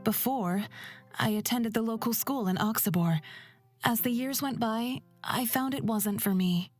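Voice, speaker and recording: A woman voicing a calm, reflective young female wagon driver in a fantasy visual novel, measured and thoughtful. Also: fairly high pitch, faint music behind, pitched above the performer's own voice.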